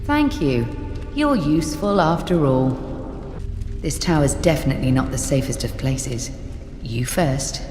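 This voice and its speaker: An earnest, intense female scholar from a fantasy game, her voice fairly low. She is driven by the search for her lost family. Her words ring through a long stone-like reverb with music underneath. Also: lively intonation, a little slow. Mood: wry, absorbed. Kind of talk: dramatic video game dialogue. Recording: echoey hall reverb, music behind.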